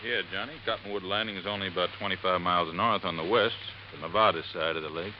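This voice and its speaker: Actor as quick-talking, low-voiced American radio sidekick. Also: male voice, steady tone, close to an everyday voice. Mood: eager. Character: eager, helpful male local sidekick. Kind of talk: 1950s radio drama acting. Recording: noisy, muffled vintage radio recording.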